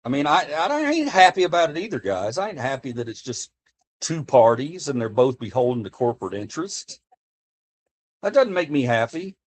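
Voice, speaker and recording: A man's voice: fairly high pitch, sing-song, moderate pace. An American man streaming live and chatting to his viewers in a casual, impassioned way, often restarting and repeating himself. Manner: earnest, impassioned, repeats himself while speaking off the cuff. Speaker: livestreamer talking to chat. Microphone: streaming desk mic.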